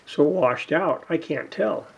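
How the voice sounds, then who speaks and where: A man giving unscripted, casual narration of hands-on repair work, halting and muttering to himself as he goes. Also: on the high side, vocal fry, breathy edge, low-quality recording. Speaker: hobbyist radio repairer, video host. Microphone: camera mic near the workbench.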